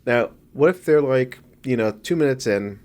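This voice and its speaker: A man's voice: medium pitch, animated, normal speed, a little mellow. A man co-hosting a casual film podcast, sniffly from allergies, who rambles and corrects himself with jokes. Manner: rambling, self-correcting, jokey. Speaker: podcast co-host.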